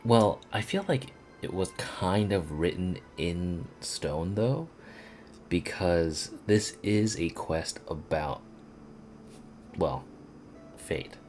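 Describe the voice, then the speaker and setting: A man's voice: low voice, extremely slow, a little mellow, slight background noise. A man streaming a game playthrough, commenting casually and reacting as he goes.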